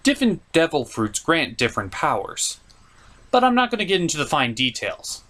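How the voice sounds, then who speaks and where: Male reviewer, fairly high voice, swooping animated pitch, emphatic and mock-exasperated, scripted commentary with wry asides. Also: moderate pace. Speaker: reviewer hosting an anime review show.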